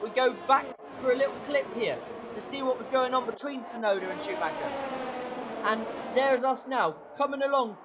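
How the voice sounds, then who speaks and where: A man commentating his own racing game run, excitable play-by-play with a sore, strained throat. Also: muffled recording, noisy background. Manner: excitable play-by-play, pitch jumps when overtaking. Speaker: gaming channel host.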